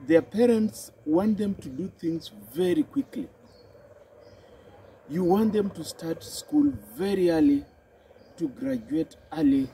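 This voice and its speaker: Kenyan man, unhurried and lilting, mellow tone, faint outdoor hiss. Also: rough recording. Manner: slow and deliberate, with a swooping emphatic pitch. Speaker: psychology and health specialist. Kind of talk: reflective talk to camera.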